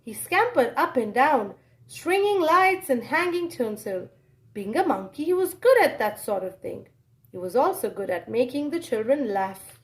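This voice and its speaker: A woman with a high voice narrates a children's storybook. Her delivery swings widely in pitch, with dramatic pauses and animated exclamations as she reads aloud. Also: low-quality recording.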